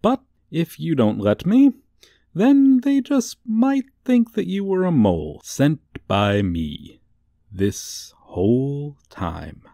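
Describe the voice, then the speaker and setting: Casting: male actor as smug, teasing supervillain for comedic audio roleplay, low and mellow, theatrical. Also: gravelly, no echo, clean studio sound, exaggerated voice. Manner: theatrical, teasing, drawn-out delivery. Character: gloating, suave, theatrical male supervillain toying with a young hero.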